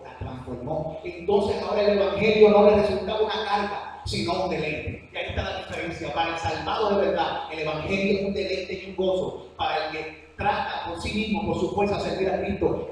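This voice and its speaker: High-voiced Cuban preacher in echoey hall. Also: male voice, darkish tone, recorded from a distance, slight background noise. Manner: earnest preaching with slow emphatic phrases. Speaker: preacher teaching a church class. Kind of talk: sermon. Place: church hall.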